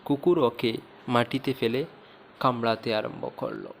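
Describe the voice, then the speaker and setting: A man's voice: medium pitch, steady tone, a little slow, a little hiss or hum. A man narrating a scripted moral tale for a cartoon as its storyteller.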